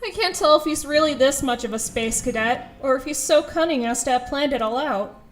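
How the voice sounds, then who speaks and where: A woman playing a reluctant, exasperated high school girl in an audio drama, with dry, grudging first-person narration and dialogue. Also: a little fast, a little hiss or hum, natural voice. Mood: wry, resigned.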